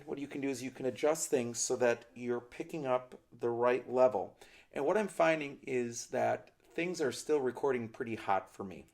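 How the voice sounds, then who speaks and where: A middle-aged man talks through a gear demonstration at a steady, mid-pitched level. He hedges and qualifies his points as he explains, recorded in a small indoor studio at desk distance. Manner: thoughtful, hedging, explanatory. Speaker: ukulele gear reviewer. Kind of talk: product review demonstration.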